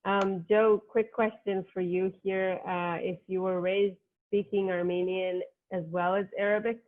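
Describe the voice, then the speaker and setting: A woman moderating a panel on a video call, brisk and friendly. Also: medium pitch, flat delivery, phone-quality sound.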